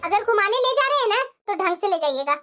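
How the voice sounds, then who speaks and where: Very high, sharp cartoon wife acted by a woman. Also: big pitch swings, quick pace, faint music behind, exaggerated voice. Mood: questioning, suspicious. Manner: reproachful, insistent, lecturing. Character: outspoken wife and devoted mother of daughters. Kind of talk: Hindi family moral cartoon acting.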